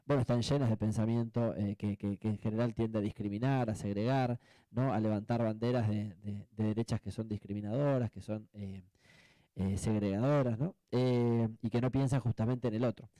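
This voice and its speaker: A man with an Argentine accent speaking off the cuff as a panelist, reflective and halting, often adding tag questions. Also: on the low side, steady tone, mellow, no echo. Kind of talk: spontaneous panel discussion answer.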